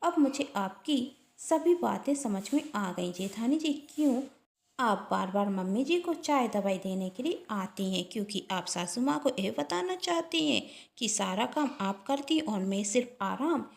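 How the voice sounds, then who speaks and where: Woman acting a polite younger daughter-in-law, higher-pitched. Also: expressive, measured pace, vocal fry. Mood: quietly resolved. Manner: polite, earnest. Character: dutiful younger daughter-in-law growing shrewd and pointed. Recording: roomy echo.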